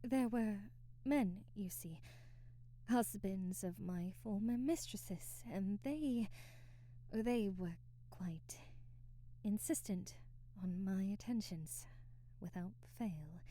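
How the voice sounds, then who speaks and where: A woman's voice: medium pitch, takes it slowly, warm tone, dry recording, natural voice. A woman playing a timid, devoted young maid in an ASMR roleplay, speaking formally and deferentially with hesitant stammers and period-drama phrasing. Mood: hesitant, hurt.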